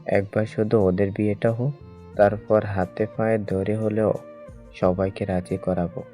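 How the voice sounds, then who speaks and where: A man's voice: fairly low pitch, little pitch movement, measured pace, mellow, soft background music, close to an everyday voice. A man playing an eager young boyfriend in an audio love story, with an earnest, conversational way of talking. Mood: impatient, hopeful. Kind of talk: dramatised audio story dialogue.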